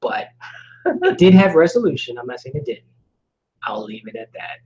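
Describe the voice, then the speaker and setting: A man co-hosting a couple's live chat, rambling and joking, his voice often trailing off into quiet asides. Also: medium pitch, sing-song, normal speed, muffled recording. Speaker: co-host of a couple's channel. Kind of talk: casual live-stream chat.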